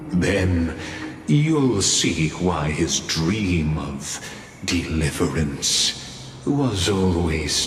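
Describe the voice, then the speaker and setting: A man's voice: low voice, exaggerated voice. An actor playing a grave, brooding male narrator who solemnly foretells tragedy in a dramatic game story. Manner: solemn, ominous, deliberate. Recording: long hall reverb, music behind.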